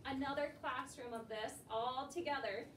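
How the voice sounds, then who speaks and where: A woman's voice: sits high, steady tone, normal speed, a bit bright. A woman teaching an elementary class, leading a discussion with questions and repeating the children's answers in an instructive way. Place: indoor school classroom. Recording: echoey noisy classroom, distant mic.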